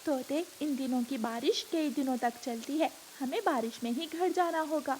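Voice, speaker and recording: A woman's voice: high voice, normal speed, a little mellow, slight background noise, natural voice. A woman voicing a caring, determined mother bird in a cartoon, speaking earnestly and with some worry.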